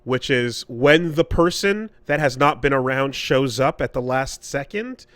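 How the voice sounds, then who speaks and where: A man co-hosting a podcast, chatting casually and explaining his points in a measured way. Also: medium pitch, expressive, a bit bright, no echo. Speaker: podcast co-host. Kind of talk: casual conversation about storytelling.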